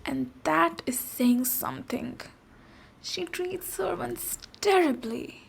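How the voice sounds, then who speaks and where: A woman playing a boisterous, protective female ogre warrior in an ASMR roleplay, speaking deliberately with pauses between phrases. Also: sits high, vocal fry, a little hiss or hum, full character voice. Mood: disapproving. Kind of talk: ASMR roleplay, storytelling and direct address.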